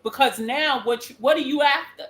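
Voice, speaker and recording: High-voiced woman explaining in an echoey room. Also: moderate pace, fairly bright tone. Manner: explanatory, giving examples. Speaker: panelist. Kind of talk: casual panel discussion.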